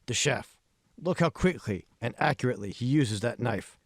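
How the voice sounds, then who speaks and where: A man's voice: mid-range voice, expressive, moderate pace, no room sound, studio quality. A man reading the part of an awkward, insecure tech billionaire in an audiobook, with short, hesitant questions and answers. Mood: eager. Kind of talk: audiobook character voice.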